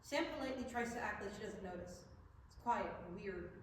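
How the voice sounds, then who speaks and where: A woman's voice: mid-range voice, a little fast. A woman reading stage directions as scripted narration. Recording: very echoey, distant mic, background noise.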